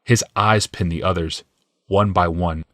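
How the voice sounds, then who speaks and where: Low-pitched man narrating a fantasy audiobook. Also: steady tone, measured pace, no echo, studio quality. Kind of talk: scripted dramatic fantasy narration.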